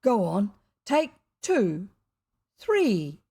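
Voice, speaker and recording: A woman's voice: medium pitch, big pitch swings, extremely slow, dark tone, breathy edge, exaggerated voice. A mischievous storybook schoolboy played by a woman. He is earnest and stubborn, with a boastful, self-important way of talking. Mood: generous.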